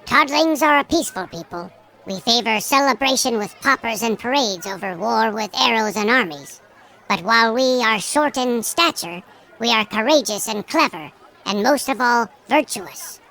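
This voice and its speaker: Woman voicing a formal grandfatherly little elder, high-pitched. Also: lively intonation, creaky, pushed and bright, full character voice, poor audio. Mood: resolute. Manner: formal, measured, eloquent speech. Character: grandfatherly sage elder mayor of a small folk. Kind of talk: fantasy audio drama acting.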